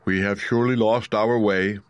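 A man's voice: fairly low pitch, steady tone, normal speed, no room sound, close to an everyday voice. A man voicing a grumbling, worried scarecrow in a storybook reading.

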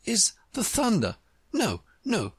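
Storybook role: sensible, polite little girl, voiced by a reader. Raised pitch, earnest, careful, slightly breathy. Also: very animated, takes it slowly, dry recording, exaggerated voice. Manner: grave, careful, eager to answer.

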